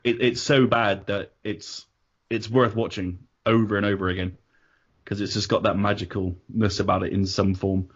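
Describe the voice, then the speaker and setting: Laid-back British host, low voice. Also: male voice, level tone, normal speed, husky. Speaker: podcast host. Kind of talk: casual panel chat. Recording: dull lo-fi audio.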